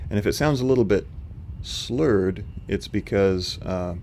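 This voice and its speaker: A man hosting a live stream, answering chat questions in a calm, level, unhurried way with a slightly rough voice. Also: lowish voice, slight background noise. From not from North Carolina. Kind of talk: casual livestream commentary answering chat. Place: home.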